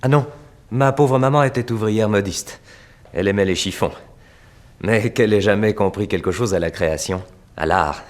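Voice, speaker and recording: A self-satisfied painter acted by a man in a 1960s radio drama. He speaks in a fairly low voice, with a touchy, dismissive and guarded manner. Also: moderate pace, close to an everyday voice. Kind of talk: early 1960s French radio drama acting.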